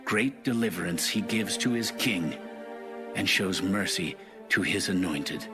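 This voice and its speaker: A man reading scripture as a scripted narration, in a measured and solemn manner. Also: low-pitched. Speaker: audio Bible narrator. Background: music.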